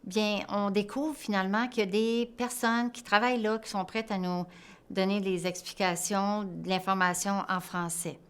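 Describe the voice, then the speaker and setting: Smooth, clear woman. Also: mid-range voice, steady tone, normal speed, fairly bright tone. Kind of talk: explanatory interview answer.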